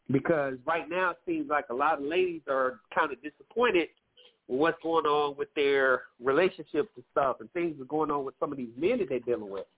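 A man hosting a call-in radio show, giving loose, profane relationship advice, a little nasal and wheezy from allergies. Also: fairly high pitch. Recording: phone-like lo-fi line.

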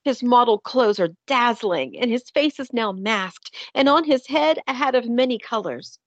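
A woman's voice: on the high side, lively intonation, normal speed, no room sound, dull audio. A woman narrating a scripted audio drama in a descriptive storytelling manner.

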